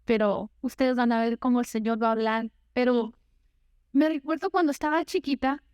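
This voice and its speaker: A woman's voice: fairly high pitch, moderate pace, darkish tone, clean tone, dry recording, clean studio sound. A young woman from Guatemala, preaching a sermon and telling her own story, slipping in English words. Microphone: handheld or headset mic, unfamiliar to her.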